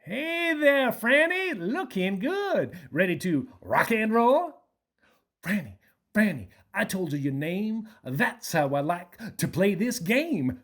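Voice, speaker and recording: A woman's voice: mid-range voice, very animated, takes it slowly. A woman playing a breezy, jovial old hippie man in a radio play, playful and folksy.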